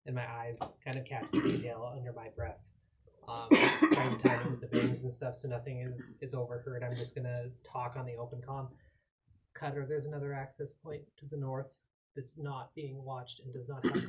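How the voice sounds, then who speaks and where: A man's voice: mid-range voice, flat delivery, brisk, darkish tone, low-quality recording. A man talking casually as a player in a tabletop roleplaying game.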